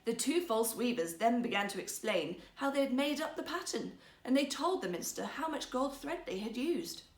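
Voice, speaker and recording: A woman storyteller narrating a fairy tale in an expressive, theatrical, carefully phrased way. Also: on the high side, normal speed, echoey room.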